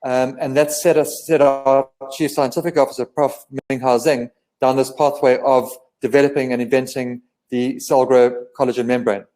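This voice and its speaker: A man explains his company's work over a video call at an even middle pitch. He talks fluently but fills in with ums and restarts as he goes. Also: normal speed, few pauses, low-quality recording. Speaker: guest from a medical company.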